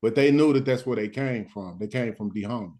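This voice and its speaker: A man's voice: mid-range voice, a little fast, darkish tone. A man hosting casual group chat on a stream, talkative and laid-back.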